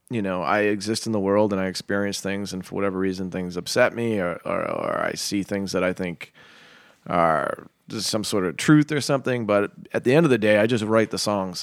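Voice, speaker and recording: A man from Boston, a singer-songwriter guest, talking casually and haltingly with many fillers and restarts. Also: sits low, no room sound, clean studio sound. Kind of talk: casual interview chat.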